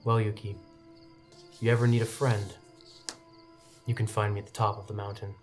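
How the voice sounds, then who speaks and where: A man voices a gentle young swordsman in a tabletop fantasy game. He is soft-spoken, wistful and earnest, with faint music behind him. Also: lowish voice, level tone, normal speed, natural voice.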